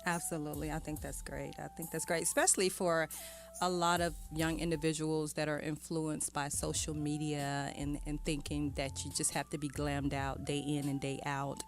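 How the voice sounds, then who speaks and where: Casting: female radio interviewer, slightly low voice, casual affirming delivery over music. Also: little pitch movement, moderate pace. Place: radio studio. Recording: music playing behind.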